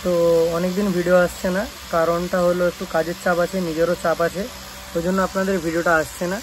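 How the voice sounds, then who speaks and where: A man's voice: high-pitched, little pitch movement, fast. A young man with a West Bengal accent chats casually to viewers in a vlog, explaining things with frequent check-in tags and restarted phrases. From West Bengal, India. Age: young adult. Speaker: speaker repair shop vlogger. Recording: clearly noisy phone vlog recording.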